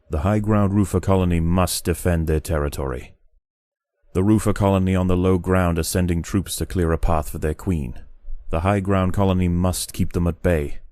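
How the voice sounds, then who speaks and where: A man reading scripted narration in the style of a nature documentary, with a measured, dramatic and solemn storytelling delivery. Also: sits low, mellow, dry recording, clean studio sound.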